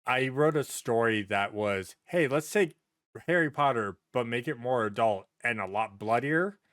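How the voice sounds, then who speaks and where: A man chatting casually as a podcast host, telling stories in a rambling, excitable way and swearing freely. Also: mid-range voice, moderate pace, no room sound, studio quality.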